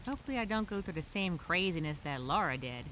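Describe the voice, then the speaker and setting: A woman voicing a resilient, chatty female castaway telling a survival diary, expressive and talking to herself. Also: on the low side, quick pace, slight background noise. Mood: practical, musing. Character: stranded castaway woman journaling, resilient, chatty, wryly upbeat. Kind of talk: first-person diary storytelling, acted.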